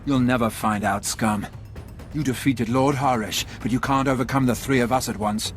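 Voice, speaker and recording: A man playing a loyal Sith guard in a video game, medium-pitched, with faint music behind. He is defiant and devoted to his lord. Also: steady tone, moderate pace, natural voice. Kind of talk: video game cutscene acting.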